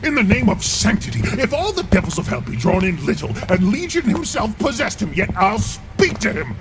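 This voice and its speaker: An actor playing a blustering, mischievous knight with a mock-grave manner in a Shakespearean audio drama. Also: male voice, high voice, very animated, brisk, music in the background. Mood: excited bluster.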